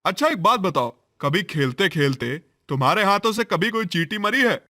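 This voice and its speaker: A patient, reassuring cartoon doctor, voiced by a man. His voice sits high, moves in a lively way and sounds bright, and he speaks gently to a child. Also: moderate pace. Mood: coaxing. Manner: gentle, coaxing.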